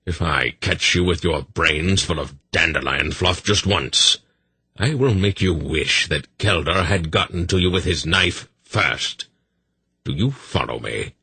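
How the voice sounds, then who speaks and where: A gruff, testy old man speaks slowly and low, with a menacing quiet weight, as a male reader voices him. His voice is deep and husky, and it sounds dry and close. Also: animated, no room sound, full character voice.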